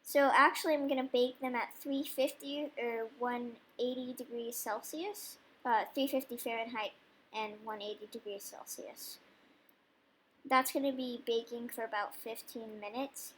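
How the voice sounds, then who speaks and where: Young woman, fairly high voice, chatty how-to, relaxed step-by-step explaining. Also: a little slow, a little hiss or hum, low-quality recording. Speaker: vlogger baking dog treats. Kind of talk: casual cooking demonstration voice-over.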